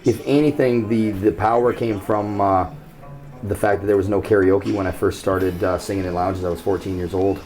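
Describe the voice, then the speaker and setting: A man from Canada with a very low voice tells his stories loudly and at ease, with a frank, joking edge. Soft music plays faintly behind him. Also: moderate pace, rough recording. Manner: loud, relaxed storyteller with a frank sense of humor. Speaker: tribute singer being interviewed. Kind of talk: casual interview.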